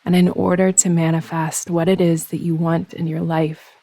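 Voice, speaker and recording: A woman leads a guided meditation in a husky, very even voice. She gives soothing instructions in unhurried phrases with pauses between them. The recording is close and dry. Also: fairly low pitch, dry recording. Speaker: yoga nidra meditation guide.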